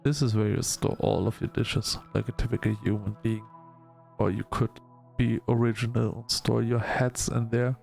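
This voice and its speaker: A man hosting a gaming video, reading story text aloud in a halting, measured storytelling delivery. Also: medium pitch, level tone, creaky, darkish tone, soft background music. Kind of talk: let's-play narration, reading game text aloud.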